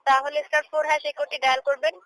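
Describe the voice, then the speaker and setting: A woman with a high voice and a Bangladeshi accent handles a customer service call. She talks quickly in polite, formal set phrases, with a dry sound. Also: little pitch movement, a bit bright, no echo, poor audio. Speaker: customer care call centre agent.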